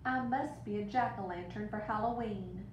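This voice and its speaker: A woman's voice: normal speed, lo-fi sound, natural voice. A small, wistful storybook pumpkin acted out by a woman reader speaks with earnest hope. She is dreamy and pleading. The sound is echoey and distant, with noise behind it. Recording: roomy, distant mic with rumbling noise.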